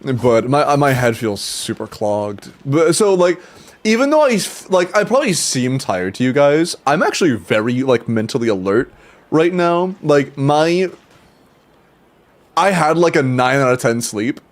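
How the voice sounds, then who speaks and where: A man's voice: mid-range voice, expressive, unhurried. A young man streaming a game and chatting casually with viewers, sounding stuffy and congested, rambling with restarts, casual swearing and laughs. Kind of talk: casual livestream chat with viewers.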